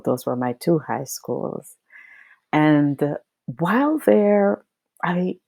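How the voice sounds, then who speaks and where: A woman from Guyana, a guest in a casual interview who affirms warmly and often says yes. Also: low-pitched, gravelly, no echo.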